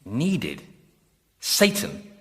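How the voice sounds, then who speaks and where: A man's voice: lowish voice, huge pitch swings, very slow, heavily acted. An actor playing a sardonic, weary damned man, dry and incredulous, in a radio sitcom. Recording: long hall reverb, clear background noise.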